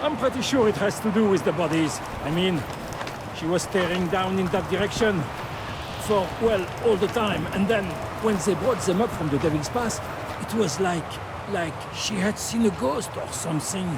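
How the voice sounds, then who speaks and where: A man's voice: high voice, moderate pace, very noisy. An actor voicing a concerned, chatty man in a video game. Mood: worried.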